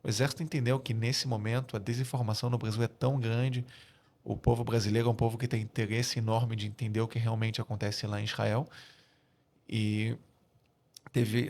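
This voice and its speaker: Man, 40s, Rio de Janeiro accent, low voice, monotone, earnest, hesitant. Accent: Rio de Janeiro Brazilian Portuguese. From Brazil (Rio de Janeiro). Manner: measured, earnest, frequent hesitations. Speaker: guest, military spokesperson. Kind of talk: podcast interview.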